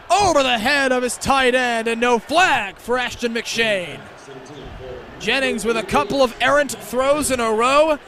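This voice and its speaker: A young American man doing live radio play-by-play of a football game as a student broadcaster, excitable and given to shouting at big plays. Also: extremely high pitch. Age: young adult. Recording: loud stadium and outdoor noise behind.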